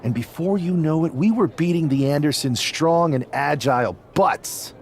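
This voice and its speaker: A man's voice: on the high side, moderate pace, a little mellow, lightly acted. A man playing a loyal, encouraging young wrestler in a video game cutscene. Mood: sincere, nostalgic. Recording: faint background noise and ambience.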